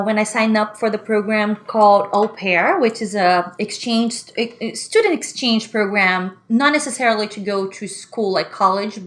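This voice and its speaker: A Brazilian-accented woman in her thirties, Portuguese by first language, talks as a podcast guest. Her voice sits at a middle pitch, and her telling is friendly and easygoing. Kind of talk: casual chat.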